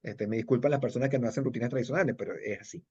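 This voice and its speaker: A man's voice: mid-range voice, rapid-fire, mellow, slightly rough. A middle-aged Venezuelan man with a Venezuelan Spanish accent, telling stories in a casual interview, rambling with many fillers and restarts. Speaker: kung fu master and judge, interviewee. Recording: dull lo-fi audio.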